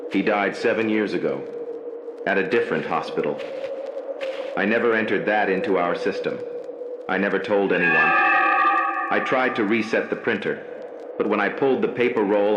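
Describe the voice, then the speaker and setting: A man playing a haunted night-shift hospital worker, narrating a scripted horror story in slow, measured, ominous phrases. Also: on the low side, roomy sound, highs cut off, noisy background, lightly acted.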